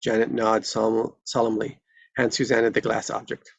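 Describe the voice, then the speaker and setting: Casting: male narrator for screenplay stage directions, raspy with vocal fry, dry close sound. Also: fairly low pitch, level tone, moderate pace, dry recording. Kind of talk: scripted narration at a live table read.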